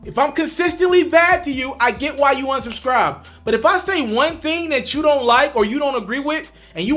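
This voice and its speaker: Young guy doing commentary, really high voice, bright and forceful, faint music under him. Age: young adult. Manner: emphatic, addresses viewers directly, repeats for stress. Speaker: commentary channel host. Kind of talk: direct-to-camera commentary.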